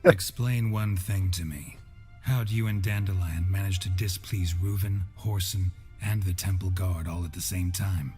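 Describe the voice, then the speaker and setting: An actor playing a stoic, dry-witted monster hunter in a video game, speaking tersely and deadpan. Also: male voice, low-pitched, normal speed, close to an everyday voice. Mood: dry, inquiring. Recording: music behind the voice.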